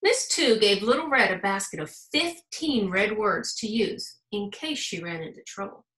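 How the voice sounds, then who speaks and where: An American woman, a school principal, reading a children's story aloud in an animated, emphatic way. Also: medium pitch, normal speed. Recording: roomy, echoing sound.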